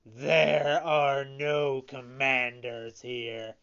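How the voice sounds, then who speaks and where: Narrator performing big bearded storybook showman, drawn-out emphatic delivery, commanding, muffled recording. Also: medium pitch, gravelly. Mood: stern. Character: gruff, stern showman with long beard, softening to pity.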